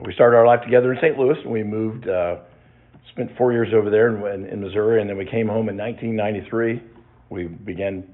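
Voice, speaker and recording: A man in his sixties giving a personal testimony to his church, reflective and halting, with many restarts. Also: lowish voice. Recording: tinny phone-like sound.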